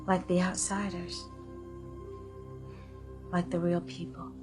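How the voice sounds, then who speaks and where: An actress playing a wistful young store mannequin come to life in a radio drama, speaking in a dreamy, halting way. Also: female voice, fairly low pitch, flat delivery. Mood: wistful, dazed. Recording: music behind the voice.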